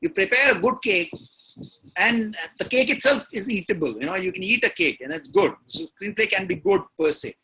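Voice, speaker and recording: Man, Indian accent, high pitch, bright tone, emphatic, repeats key words, point-by-point interview talk. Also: animated, normal speed, husky, low-quality recording. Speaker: guest writer.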